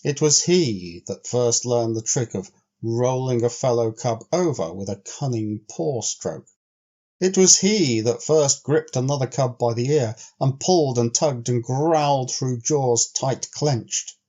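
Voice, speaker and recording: A slightly dull, lo-fi recording of a male storyteller with a mellow, dark voice. He reads literary prose in measured, carefully shaped phrases, with an actor's sense of delivery. His pitch sits in an ordinary middle range for a man. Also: lively intonation. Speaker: audiobook storyteller. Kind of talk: scripted literary narration.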